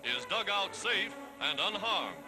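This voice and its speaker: A man's voice: sits high, big pitch swings, slow. An American man reading scripted 1940s newsreel narration in a formal, dramatic, declamatory manner. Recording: vintage 1940s newsreel soundtrack, loud music. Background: loud newsreel music.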